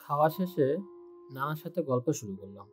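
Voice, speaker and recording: A young man narrating a spooky story in the first person, calm and steady. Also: a little high, normal speed, faint music behind.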